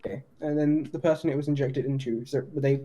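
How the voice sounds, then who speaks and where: Man playing a mellow, persistent police detective. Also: mid-range voice, fairly even intonation, quick pace, lightly acted. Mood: probing. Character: male police detective questioning a suspect, courteous, persistent. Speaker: role-player in an online game. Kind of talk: improvised roleplay interrogation.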